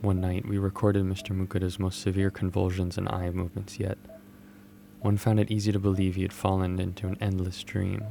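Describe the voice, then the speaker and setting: A man playing a brooding, obsessive doctor in a horror manga dub, speaking in a grave, detached, gravelly way. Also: low voice, completely flat delivery, a little fast, warm tone, slight background noise. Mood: somber. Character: brooding, obsessive male doctor, coldly rationalizing human experiments.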